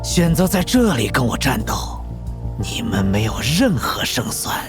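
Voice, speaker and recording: 一名男演员在动画剧中饰演阴险残忍的疯狂科学家反派，说话夸张拖腔，带着戏谑的嘲弄。. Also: male voice, mid-range voice, huge pitch swings, music in the background. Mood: smug taunting. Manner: theatrical, drawn-out taunting with cackles. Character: sinister sadistic mad scientist villain, gleeful and mocking. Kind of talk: animated audio drama acting.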